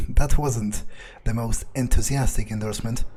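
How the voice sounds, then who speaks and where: A man roleplaying a self-assured, inquisitive fledgling vampire in a game playthrough, speaking in a halting, musing way. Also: medium pitch, steady tone, mellow, slight background noise, natural voice. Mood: skeptical. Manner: halting, musing, hesitant pauses. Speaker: gameplay video player. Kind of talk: in-character roleplay commentary.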